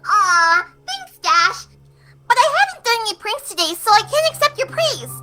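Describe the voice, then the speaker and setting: A woman's voice: very high-pitched, big pitch swings, moderate pace. A woman playing a gleeful, sinister young female cartoon pony, giggly and sing-song with false cheer, in a horror story reading. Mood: sweetly menacing. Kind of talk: dramatized horror fan fiction reading. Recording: music behind the voice.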